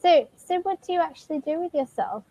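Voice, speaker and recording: A woman's voice: extremely high pitch, animated, a little fast, dark tone, no room sound. A woman tutoring English over a video call, asking simple, encouraging questions. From Australia. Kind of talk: online language lesson conversation. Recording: muffled video call with faint noise.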